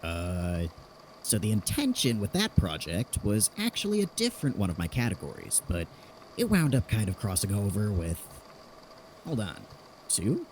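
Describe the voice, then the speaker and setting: Eccentric inventor, male actor: lowish voice, wildly swinging pitch, eager and sheepish, halting explanations, faint noise behind. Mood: sheepish, rambling. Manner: halting, rambling, animated explaining. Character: eager rambling inventor cheerfully cataloguing fears, sheepish about deaths. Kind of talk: podfic audio drama acting.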